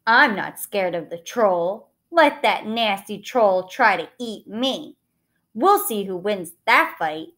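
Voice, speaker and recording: Woman playing a big brave male billy goat, confident, defiant, unhurried, animated pitch, children's storybook. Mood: bold, defiant.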